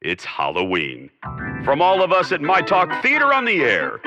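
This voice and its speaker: A man's voice: fairly high pitch, wildly swooping pitch, moderate pace, in a large hall, dull audio, over music. A man hosting a radio show, delivering a scripted sign-off in old-time radio drama style, theatrical and mock-solemn.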